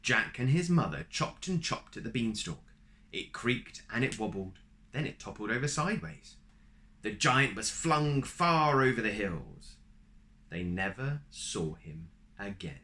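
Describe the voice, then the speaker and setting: A man reading a children's storybook aloud, animated, with asides to the listener. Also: lowish voice, measured pace.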